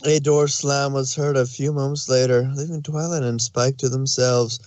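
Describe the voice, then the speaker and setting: A man's voice: mid-range voice, steady tone, moderate pace, a little mellow, no room sound. A man narrating a fan fiction story, reading it aloud.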